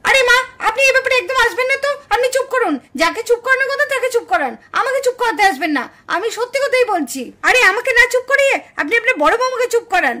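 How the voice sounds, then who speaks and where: A woman's voice: very high voice, animated, fast talker, few pauses, bright, forceful tone, exaggerated voice. A woman voicing a quarrelsome, indignant village daughter-in-law in a puppet cartoon family drama. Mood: angry, defiant. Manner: scolding, indignant, exclamatory.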